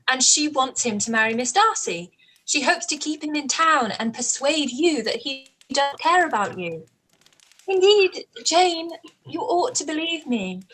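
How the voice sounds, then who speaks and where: A woman playing a spirited, outspoken young gentlewoman in a period radio play, with emphatic, expressive formal speech. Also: high-pitched, rough recording.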